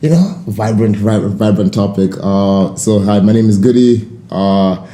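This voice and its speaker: A young man from Kenya joins a podcast as a guest and tells stories animatedly. His voice is low and mellow. The room echoes, with a faint hiss. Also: unhurried. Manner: animated storyteller, laughs. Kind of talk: casual podcast chat.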